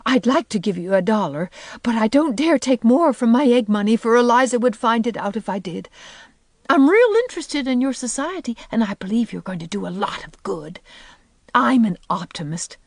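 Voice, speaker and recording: Woman acting a breathy, timid, kindly optimist. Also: a little high, lively intonation, normal speed, dry recording, studio quality, pitched above the performer's own voice, full character voice. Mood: breathless, hopeful. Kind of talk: audiobook character voice.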